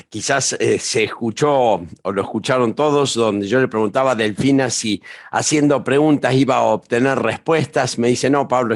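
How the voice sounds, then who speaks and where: A man with an Argentine accent speaking as an invited seminar presenter, explaining things in a deliberate, rhetorical way. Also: mid-range voice. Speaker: invited speaker and executive advisor.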